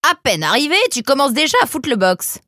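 A woman's voice: lowish voice, very animated, measured pace, dry recording, clean studio sound, full character voice. A woman voicing a small, wiry male fox, a threatening bully who barks slangy orders in a children's audio story. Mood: angry, threatening.

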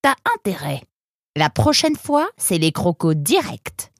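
A woman's voice: lowish voice, very animated, unhurried, no echo, exaggerated voice. Woman doing wiry little fox thug for an audio story, snappy threats, voice jumps all over, pretty dry sound. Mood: angry, threatening. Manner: threatening, slangy, barking orders. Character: small wiry male fox, gruff bully.

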